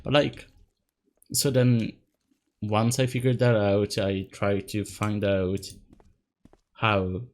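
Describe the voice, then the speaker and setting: A man's voice: on the low side, little pitch movement, takes it slowly, pauses often, a little mellow. A young man whose first language is Italian, rambling and self-mocking while commenting on a game he plays, laughing often. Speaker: let's play host. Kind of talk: casual gaming commentary.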